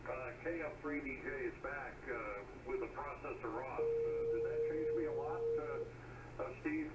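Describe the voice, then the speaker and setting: A man chatting casually over a shortwave radio link as a ham operator, with halting phrasing. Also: on the high side, very animated. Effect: shortwave radio transmission. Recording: very noisy, echoey, distant shortwave radio signal. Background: loud radio noise.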